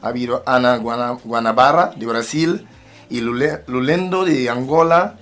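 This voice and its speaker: A man's voice: medium pitch, normal speed, a bit bright. A man, a musician and rapper, speaking as an interview guest, often repeating words and phrases as he goes. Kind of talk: spoken radio interview.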